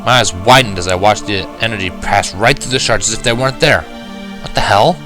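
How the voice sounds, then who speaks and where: A man's voice: fairly low pitch, a little fast, over music. A man reading a fan-fiction story aloud as scripted first-person narration.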